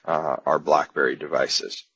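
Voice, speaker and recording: A man, a company executive, giving a halting sales presentation over a web meeting, with many uhs. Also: extremely low pitch, steady tone, creaky, a bit bright, slightly rough, no echo. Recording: dull, slightly hissy web-meeting audio.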